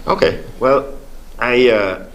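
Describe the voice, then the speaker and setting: A man's voice: mid-range voice, slow, a bit bright. An older Caribbean man born in Grenada, a studio guest telling his life story in an easygoing way with many fillers. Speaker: studio guest, sports official. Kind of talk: relaxed interview answers.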